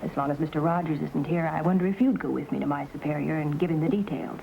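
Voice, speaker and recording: A woman's voice: deep voice, fast talker, vocal fry, highs cut off. A woman acting a cool, businesslike female agent with a formal manner in an old-time western drama. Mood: composed, polite. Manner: polite, composed, formal. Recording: noisy old western soundtrack.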